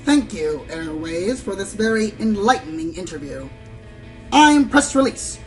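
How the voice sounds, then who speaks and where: A woman playing a cynical, worldly-wise pony news reporter in a radio play, brisk and condescending. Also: mid-range voice, lively intonation. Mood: theatrical, smug. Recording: roomy, off-mic, faint music behind.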